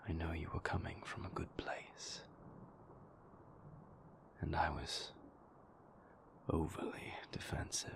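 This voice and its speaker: An actor playing a remorseful, formal and guarded vampire boyfriend in an intimate roleplay audio, halting and careful, with a breathy, gravelly low voice. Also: male voice, little pitch movement, a bit bright, in a whisper, heavily acted. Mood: confessional, halting.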